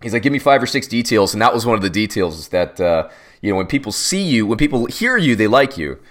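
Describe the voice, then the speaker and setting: A man's voice: lowish voice, big pitch swings, quick pace, fairly bright tone. A young man co-hosting a casual sports podcast, teasing his partner and rambling with restarts. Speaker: podcast co-host.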